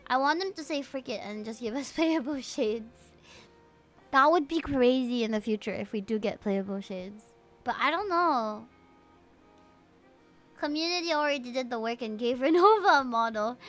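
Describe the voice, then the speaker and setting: A woman's voice: a little high, lively intonation, normal speed, a bit bright, soft background music. A woman livestreaming a video game in a casual, playful, gushing fangirl manner, chatting to her viewers. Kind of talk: casual livestream chat and reading game dialogue. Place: livestream over game audio.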